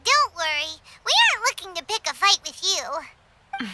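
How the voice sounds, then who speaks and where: A woman voicing a tiny, excitable, childlike fairy companion in an anime video game, with a squeaky voice and exclamatory, naive delivery. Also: measured pace, heavy background noise, exaggerated voice. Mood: earnest. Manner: exclamatory, naive, refers to herself by name.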